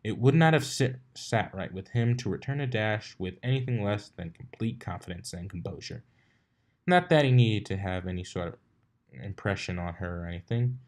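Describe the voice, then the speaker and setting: A man's voice: lowish voice, lively intonation, moderate pace, a little mellow. A man reading a fan fiction story aloud as an informal narrator.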